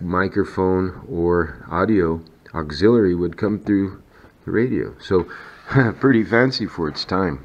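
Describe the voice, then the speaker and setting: Slow, low-voiced man demonstrating gear. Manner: matter-of-fact, explanatory. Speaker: radio hobbyist demonstrating a vintage set. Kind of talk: casual unscripted product demonstration. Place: kitchen indoors. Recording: dull sound with faint background noise.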